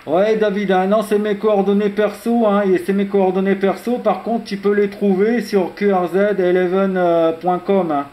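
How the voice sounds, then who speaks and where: A man's voice: high-pitched, little pitch movement, normal speed, hardly any pauses, mellow, slight background noise. A man working as an amateur radio operator, chatting informally in call signs and jargon, friendly and full of filler tags. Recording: roomy, echoing radio contact audio.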